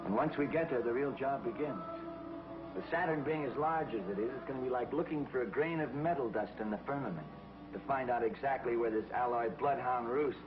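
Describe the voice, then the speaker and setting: A man playing a steady rocket-pilot hero. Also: mid-range voice, brisk, close to an everyday voice. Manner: calm, matter-of-fact. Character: heroic male rocket pilot, steady and confident. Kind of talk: 1950s TV sci-fi acting. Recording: dull old 1950s TV soundtrack, music behind.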